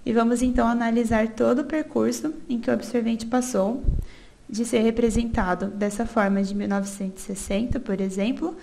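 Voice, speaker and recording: A young woman from Brazil presents a student research project, reading steadily from her slides. Her voice sits a little high and has a strong, gravelly fry running through it. She stumbles now and then, restarts a word, and opens each new section with a short filler. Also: steady tone, brisk, darkish tone, slight background noise. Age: young adult. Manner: reads steadily from notes with small restarts and filler "é".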